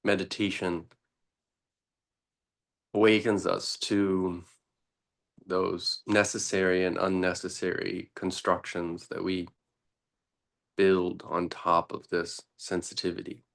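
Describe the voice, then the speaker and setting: A man teaching meditation in an unscripted, deliberate way, pausing often between short phrases. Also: low voice, flat delivery, gravelly, a bit bright.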